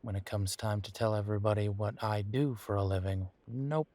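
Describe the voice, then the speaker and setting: A man playing a bitter, wry young werewolf in an audio roleplay, talking haltingly and sardonically as if in intimate one-sided conversation. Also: on the low side, steady tone, dark tone, dry recording, close to an everyday voice. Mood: wry, resentful. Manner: halting, sardonic, conversational with sighs and repeated words.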